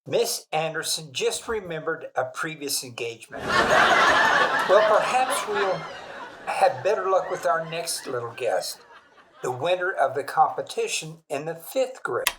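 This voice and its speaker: An actor playing a formal, drily genial school master of ceremonies in an old radio drama. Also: male voice, on the high side, very animated, measured pace, heavy background noise. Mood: drily patient.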